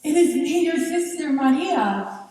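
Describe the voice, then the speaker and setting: Woman as a pleading aged-up young beauty in a play, really high voice, big echoey hall. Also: lively intonation, unhurried, dark tone. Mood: earnest pleading. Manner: earnest, pleading, dramatic. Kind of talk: stage play acting. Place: large indoor theater stage. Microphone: distant camera. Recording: echoey theater stage from far off.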